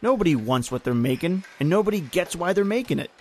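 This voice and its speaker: A man's voice: high-pitched, expressive, fast talker, a little mellow. A man playing a grim, bitter factory overseer with folksy speech in an old-time style radio horror drama. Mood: bitter, taunting. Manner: folksy, bitter, uses "aye" and "ain't". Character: grim, taunting male factory overseer on an island. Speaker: actor in audio horror drama.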